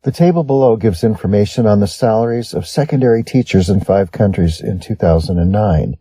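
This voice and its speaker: A man with a Western American accent reads scripted lesson material. His voice is very soft-edged and dark, somewhat low, with a husky grain. The recording is close and dry. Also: brisk, dry recording. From Western United States. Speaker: English test-prep reader. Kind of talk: scripted read-aloud of sample essays.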